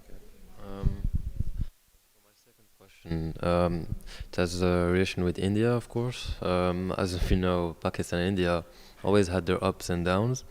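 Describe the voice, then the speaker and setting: Man, interviewer, low flat voice, hesitant, formal questioning. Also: moderate pace, smooth.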